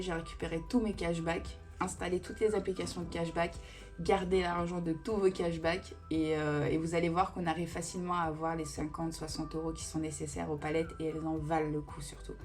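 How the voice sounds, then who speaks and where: Chatty lower-voiced woman vlogger. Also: flat delivery, brisk, a little mellow, soft background music. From Italian family roots. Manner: chatty and digressive, with many fillers. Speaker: beauty vlogger. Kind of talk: casual Q&A chat.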